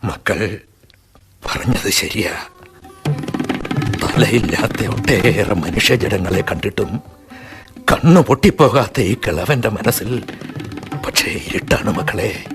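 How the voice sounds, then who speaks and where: Guy doing a sad old grandpa, talks real slow and heavy, radio play with soft music. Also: on the low side, expressive. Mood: tender, resigned. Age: older. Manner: slow, grave, emotional. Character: grieving elderly male partition survivor, grandfather. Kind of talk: radio drama acting.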